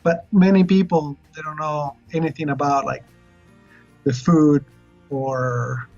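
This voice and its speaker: A man from Chile, a chef talking casually as a guest and often pausing to search for words. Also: a little high, fairly even intonation, gravelly, darkish tone, faint music behind. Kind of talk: casual interview chat. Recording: dull lo-fi recording.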